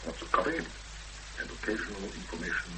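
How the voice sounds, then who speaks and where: An actor playing a brisk, impatient man of action plotting a rescue in a 1970s radio drama, speaking emphatically and decisively. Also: deep voice, lively intonation. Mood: brisk, confident. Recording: noisy 1970s radio broadcast recording.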